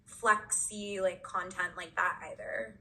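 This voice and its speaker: Young woman guest, bright and sharp tone, easygoing, full of fillers. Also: lowish voice, slow, rough recording. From Washington State and Connecticut. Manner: relaxed, filler-heavy, thoughtful. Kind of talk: casual chat.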